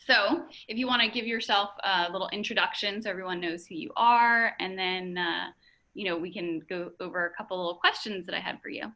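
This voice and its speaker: A woman's voice: medium pitch, a little fast, sharp tone. A young woman hosting an interview over a video call, chatty and upbeat, with lots of fillers. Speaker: interview host.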